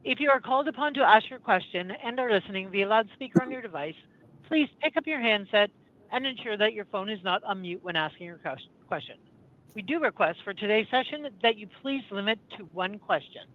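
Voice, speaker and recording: A female conference operator gives scripted, procedural instructions in a formal manner. Her voice is medium-pitched, and the call audio is slightly dull. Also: animated, brisk.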